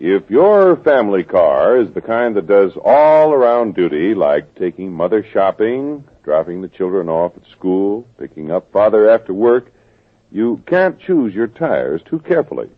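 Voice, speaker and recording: A man's voice: fairly low pitch, big pitch swings, unhurried. Persuasive old-time radio announcer man. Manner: smooth, persuasive. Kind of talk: scripted commercial announcing. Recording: tinny old radio broadcast with music.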